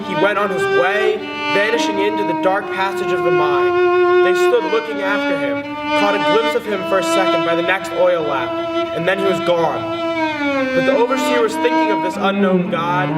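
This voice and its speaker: A man narrating a dramatic literary reading in a solemn, theatrical manner. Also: high-pitched. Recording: distant in long hall reverb, loud music. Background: string music.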